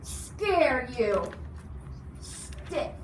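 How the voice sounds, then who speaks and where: A woman's voice: high voice, sing-song, very slow, full character voice. A woman voicing a menacing, sneering policeman who talks down to people with threats. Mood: threatening. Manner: threatening, condescending. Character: menacing policeman, sneering, softly bullying a young boy. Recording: noisy echoey room, distant mic.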